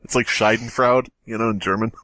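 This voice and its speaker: A man's voice: lowish voice, fairly even intonation, a little fast, fairly bright tone, no echo, rough recording. A man co-hosting a comedy podcast, riffing playfully and acting out little bits in casual banter. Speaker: podcast host.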